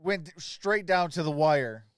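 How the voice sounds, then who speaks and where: Guy streaming basketball play-by-play, high clear voice, super dry close sound, chatting w viewers. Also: lively intonation, slow, no echo. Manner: informal, addressing viewers by name. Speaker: livestream host doing play-by-play. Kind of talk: casual live sports commentary, chatting with viewers.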